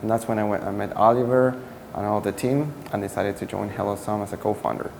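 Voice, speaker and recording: A man's voice: lowish voice, steady tone, fast, gravelly, darkish tone. A man from Peru, a startup co-founder answering interview questions earnestly while searching for his words. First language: Spanish. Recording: echoey room with faint noise.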